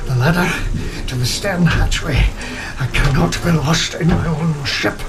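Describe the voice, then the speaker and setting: A man's voice: fairly high pitch, natural voice. An actor playing a haunted, devout male sea captain in a gothic horror audio drama, fervent and desperate in manner. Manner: slow, fervent, declamatory, calling out. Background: rain, thunder and music.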